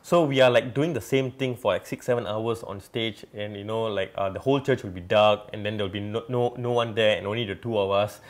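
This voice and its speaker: A man's voice: on the low side, a little fast, hardly any pauses. A young man, a guest telling his story in a rambling way full of fillers. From Singapore. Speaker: interview guest, musician. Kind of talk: casual interview storytelling.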